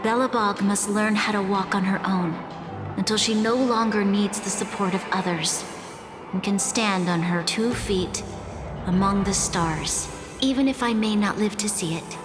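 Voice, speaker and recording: Game role: solemn, resolute woman leader voiced by an actress, mid-pitched, deliberate, in long reverb. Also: natural voice. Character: solemn, resolute female leader, selfless and hopeful. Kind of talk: video game story dialogue. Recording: long reverb, music behind.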